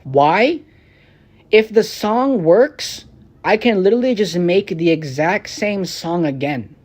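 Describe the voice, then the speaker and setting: A young man, a streamer and rapper, ranting on a live stream in a rambling, profane, frustrated way. He drops into low mutters and then bursts out loud. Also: lively intonation, slow pace. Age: young adult. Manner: swings between low mutters and raised outbursts.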